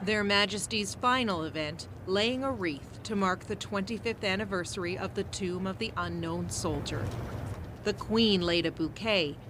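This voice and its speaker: A woman's voice: medium pitch, noisy background. A woman with a Canadian accent narrating a scripted news report. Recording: loud music behind the voice.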